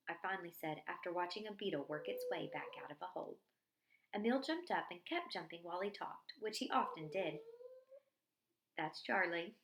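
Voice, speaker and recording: A woman reading a diary-style story aloud in an expressive narrating style, acting out the dialogue. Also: fairly low pitch, vocal fry, slight background noise. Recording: echoey room.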